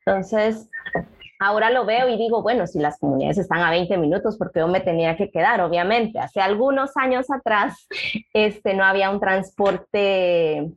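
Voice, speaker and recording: Unhurried Guatemalan woman telling a story. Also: medium pitch, expressive, highs cut off. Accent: Guatemalan Spanish. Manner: unhurried, many fillers. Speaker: guest. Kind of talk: storytelling in a panel talk.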